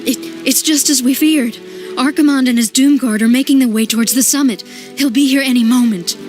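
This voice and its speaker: A woman's voice: high voice, a little fast, darkish tone, soft background music, natural voice. An actress playing a young female human sorceress and leader, sounding urgent.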